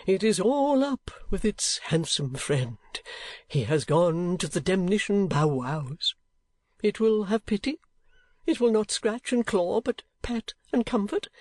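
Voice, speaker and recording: Narrator doing a high, wheedling foppish husband. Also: big pitch swings, measured pace, darkish tone, dry recording. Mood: simpering pleading. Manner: simpering, humble baby-talk with affected oaths. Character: foppish, wheedling, cowardly kept husband, melodramatically pleading.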